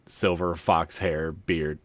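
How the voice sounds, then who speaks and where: A man's voice: sits low, little pitch movement, slow pace, no room sound. A man co-hosting a podcast, reading aloud for comedy and chatting casually, chuckling through his words. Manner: chuckling, amused delivery. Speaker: podcast co-host.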